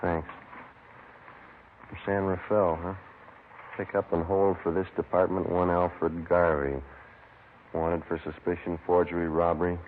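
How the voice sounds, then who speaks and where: Low, deadpan police detective, old radio. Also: male voice, measured pace, long pauses. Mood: businesslike. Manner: clipped, deadpan, understated. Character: terse, matter-of-fact male police detective sergeant. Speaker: lead actor and narrator. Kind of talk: 1950s radio police drama acting. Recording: muffled, noisy old 1950s radio recording.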